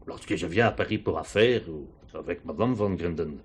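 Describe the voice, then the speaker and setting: A man's voice: sits low, a little fast, slightly rough. An actor playing a self-important, embarrassed businessman in a French stage thriller, pompous yet hesitant and defensive under questioning. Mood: embarrassed, confiding. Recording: 1970s stage play recording, some hiss.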